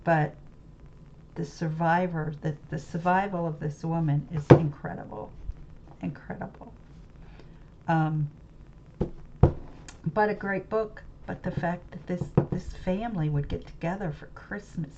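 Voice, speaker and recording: Slow, rambling American woman, lo-fi. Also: on the low side, vocal fry, noisy background. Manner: rambling, searches for words, many restarts and fillers. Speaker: webcam channel host. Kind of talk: unscripted musing monologue about a book. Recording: slightly dull lo-fi audio with faint ambience.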